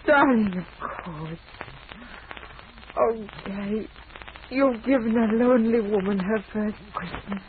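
A woman's voice: mid-range voice, takes it slowly, long pauses, close to an everyday voice. Actress voicing a lonely, gushing woman of forty-five; emotional delivery; hissy, phone-thin old broadcast sound. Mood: tender. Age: 40s. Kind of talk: 1940s radio acting. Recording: tinny old radio recording with clear hiss.

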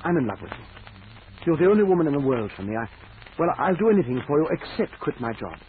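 A man's voice: fairly high pitch, big pitch swings, fast. An actor playing a meek, bashful man who stammers and hesitates, in 1940s radio acting style. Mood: earnest, shy. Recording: tinny hissy old radio recording.

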